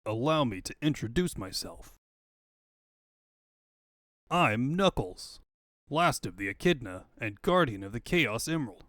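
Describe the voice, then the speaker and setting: Guy doing a tough suspicious echidna guardian, cartoon style, stern and threatening, lively delivery. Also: medium pitch, unhurried, pauses often, no echo, clean studio sound. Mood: stern, proud. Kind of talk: comic book fandub acting.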